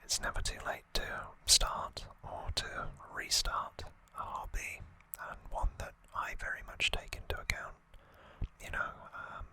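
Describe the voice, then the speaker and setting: Whispering ASMR host, breathy and bright. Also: poor audio. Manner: gentle, unhurried, informal recapping.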